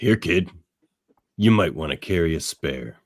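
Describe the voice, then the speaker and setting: Man acting a lively, low-voiced sardonic brawler. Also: a little slow, no room sound. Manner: blunt, taunting. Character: gruff, sardonic male mutant brawler, defiant loner. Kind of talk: live-read radio theatre acting.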